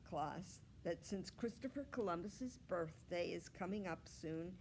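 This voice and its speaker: A woman reader plays a storybook schoolteacher, a patient and slightly weary woman. The speech is slow, polite and measured, as if addressing a classroom of young children. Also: fairly low pitch, darkish tone, a little hiss or hum.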